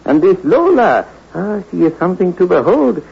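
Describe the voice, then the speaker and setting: An actor playing an eager, chatty Mexican rooming-house keeper in an old radio drama, speaking broken English with a Mexican accent. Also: male voice, sits high, sing-song, moderate pace, gravelly, slightly rough, exaggerated voice. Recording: dull old radio recording.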